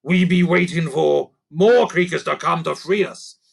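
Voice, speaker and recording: A man's voice: a little high, measured pace, creaky, slightly rough, voice pushed up, exaggerated voice. A man voicing a sly little creature that talks in broken, growly speech in a children's story. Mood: mischievous. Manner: growly creature voice with odd grammar. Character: sly male creaker creature, gruff and cheeky.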